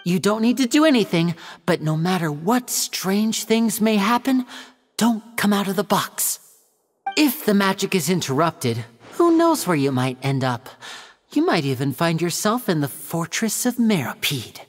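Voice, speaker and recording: A woman's voice: mid-range voice, sing-song, a little slow, in a large hall, soft background music. A woman voicing a suave young male stage magician in a video game, addressing an audience with theatrical, polished and courteous patter. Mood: reassuring, courteous.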